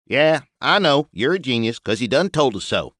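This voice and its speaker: A plain-spoken bearded mechanic with a lazy country drawl, as a man puts him on, pitched well above the reader's own low voice. He sounds dry and close to the mic. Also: big pitch swings, moderate pace, fairly bright tone, no echo. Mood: blunt. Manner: drawling rural dialect. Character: folksy bearded male mechanic, blunt.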